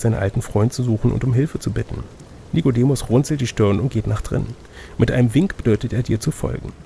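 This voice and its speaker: Male gamebook narrator, medium pitch, creaky, faint noise behind the voice. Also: dark tone. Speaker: reader and game master. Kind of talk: reading a gamebook aloud with casual asides.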